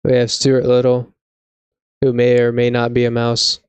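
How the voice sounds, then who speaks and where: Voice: medium pitch, completely flat delivery, normal speed, darkish tone, clean tone, dry recording. A laid-back, slangy live streamer of unclear sex, chatting casually with mock seriousness. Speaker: video game live streamer.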